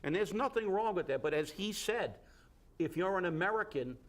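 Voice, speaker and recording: Halting talk-show host. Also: male voice, high-pitched, animated. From Fall River, Massachusetts. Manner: halting, many fillers and restarts.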